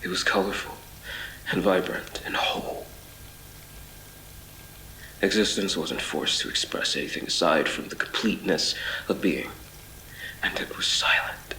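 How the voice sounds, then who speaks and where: An actor playing a haunted, obsessive traveler between twisted worlds in a horror audio drama, speaking in a measured, brooding, literary way with halts. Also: male voice, low-pitched, pushed and bright, a little hiss or hum, heavily acted. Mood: wistful, brooding. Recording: reverberant voice over music. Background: music.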